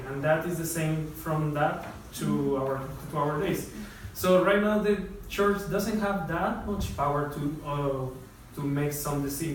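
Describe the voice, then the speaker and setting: A man's voice: fairly high pitch, a little hiss or hum. A man from Mexico with a Mexican accent, a native Spanish speaker, answering questions at a lecture with frequent hesitations. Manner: hesitant, restarts phrases. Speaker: guest speaker. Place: lecture hall. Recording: echoey hall, far from mic.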